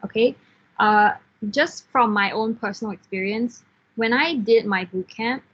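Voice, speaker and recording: Husky-voiced woman from Singapore, lo-fi call. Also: on the high side, unhurried. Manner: frank, encouraging, many fillers and restarts, laughs easily. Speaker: guest speaker, software engineer team lead. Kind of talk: casual advice talk in an online class.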